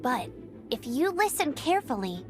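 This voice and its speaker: An actress playing a plucky, headstrong young female knight scout in a video game, speaking in an earnest, determined and expressive way. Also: sits high, normal speed. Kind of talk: video game character acting. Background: game music.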